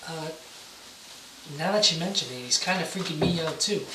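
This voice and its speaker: Uneasy, easily embarrassed male boss, played by an amateur man, higher-pitched voice, noisy roomy stage recording. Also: moderate pace, far from the mic, lightly acted. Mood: uneasy, evasive. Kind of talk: amateur one-act stage play.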